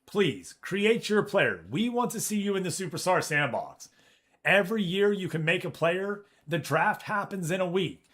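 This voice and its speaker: A man streams from his office, chatting loosely with viewers and retelling a run-in with a customer. He talks in an animated, profane, storytelling way, and his voice sits a little higher than you'd expect for someone who calls it deep. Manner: animated storytelling, swears, reads chat aloud. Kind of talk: casual chat with live chat.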